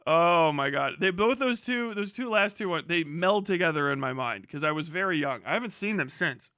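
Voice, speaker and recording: A man in his thirties chatting casually as a livestream host, swearing freely and riffing in a sarcastic, animated way. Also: on the high side, normal speed, smooth, no echo, studio quality. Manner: profane, animated, sarcastic ranting.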